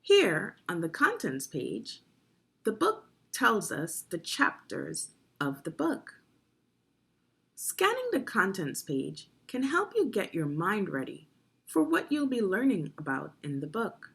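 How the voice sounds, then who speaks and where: Lady teacher doing a kids read along, slow n careful, bit gravelly, really expressive pitch. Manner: deliberate, clearly phrased, guiding young listeners with questions. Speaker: teacher narrating a children's read-along video. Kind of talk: instructional read-aloud of a children's nonfiction book.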